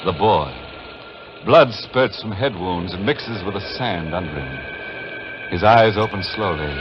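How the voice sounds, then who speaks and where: A man's voice: deep voice, exaggerated voice. A man with an American accent playing a ghoulish, sardonic host of a 1940s radio horror mystery, narrating dramatically. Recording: muffled 1940s radio broadcast with music.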